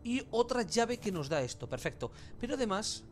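Higher-pitched Spanish man over noticeable noise. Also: big pitch swings, normal speed. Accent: Spain. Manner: colloquial, mild swearing. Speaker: gamer, let's play host. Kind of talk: casual gameplay commentary.

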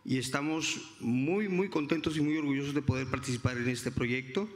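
A man's voice: mid-range voice, normal speed. A man from Mexico, gratefully introducing his association on a conference stage with a handheld mic. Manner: warm, grateful, repeats himself. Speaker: association representative. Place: large conference hall on stage. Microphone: handheld microphone. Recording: reverberant conference hall.

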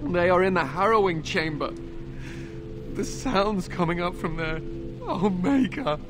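A young knight worn down by captivity in a fantasy video game. His high voice drags slowly and haltingly, bitter, suspicious and pleading. Loud music sits behind him. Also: expressive. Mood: dread. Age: young adult. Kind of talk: dramatic video game voice acting.

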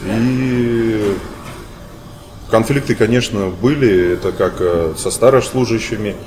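A man answering interview questions on a sofa in a big indoor hall, speaking in measured phrases with many hesitant fillers. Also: lowish voice. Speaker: interview guest. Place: large bright indoor hall, seated on a sofa. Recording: noisy room ambience.

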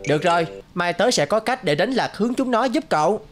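A woman's voice: medium pitch, lively intonation, a little fast, faint music behind, close to an everyday voice. A woman voicing a good-natured, loyal schoolboy in a cartoon, with a casual youthful manner. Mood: friendly chatter. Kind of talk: cartoon voice acting.

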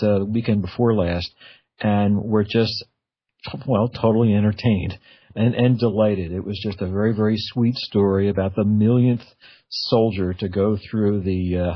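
Male radio interviewer, deep-ish and husky, casual and meandering, backs up and restarts mid-thought. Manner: rambling, halting, self-correcting. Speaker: radio show host. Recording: slightly dull, lo-fi audio.

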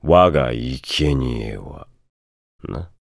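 An actor playing a cold, menacing aristocratic prosecutor in a game, speaking in a haughty, sneering way. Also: male voice, rumbling low, animated, extremely slow, gravelly, background noise, full character voice. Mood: contemptuous. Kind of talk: game voice clip. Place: studio.

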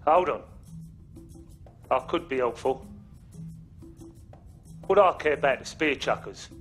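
An actor playing a volatile criminal under questioning in a crime game, defiant and cagey. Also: male voice, medium pitch, animated, slow pace, faint music behind.

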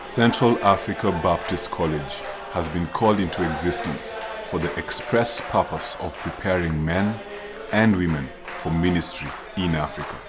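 A man's voice: low voice, normal speed, crowd noise. A man giving earnest, declamatory scripted narration for a promotional film. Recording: music bed underneath.

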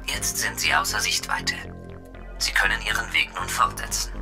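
An actor playing a loyal, precise combat robot in a retro sci-fi radio drama, formally naming each emotion before speaking. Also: male voice, medium pitch, creaky. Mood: polite, precise. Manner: formal, precise, labels own emotions aloud.

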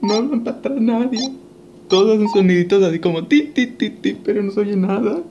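A man with a somewhat high voice hosts a casual prank chat. He jokes in slang and slips between two languages. The amateur recording carries some light noise. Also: animated, fast, mellow, dull audio. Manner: joking, slangy, switches between English and Spanish. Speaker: host. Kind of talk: casual prank chat over a walkie-talkie app. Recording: noisy amateur home recording.

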